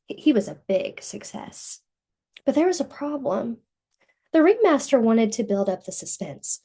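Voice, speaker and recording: A woman reading a picture book aloud to young children in an expressive storytelling manner. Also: a little high, unhurried, slightly rough.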